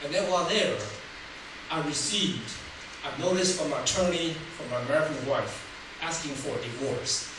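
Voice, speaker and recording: A man's voice: fairly high pitch, normal speed. An older man from Taiwan, Taiwanese his first language, telling his life story as a humorous public speech. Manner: humorous, laughs at his own jokes. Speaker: public speaker. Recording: roomy hall from far off, noisy.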